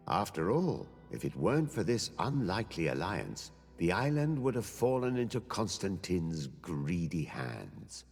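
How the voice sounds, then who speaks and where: Husky, solemn old mentor narrator. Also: male voice, on the low side, in a large hall, full character voice. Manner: measured, storytelling, solemn. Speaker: narrator of a game's ending. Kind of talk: scripted epilogue narration. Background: music.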